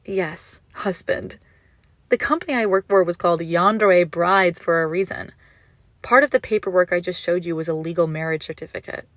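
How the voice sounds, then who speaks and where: A woman playing an obsessive, gushing yandere kidnapper in a roleplay audio drama, menacingly sweet, with breathy, doting coos. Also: on the low side, moderate pace, fairly bright tone, no room sound, close to an everyday voice. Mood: lovestruck, possessive. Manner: breathy doting coos, often dropping to whispers. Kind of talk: roleplay audio drama, intimate monologue to listener.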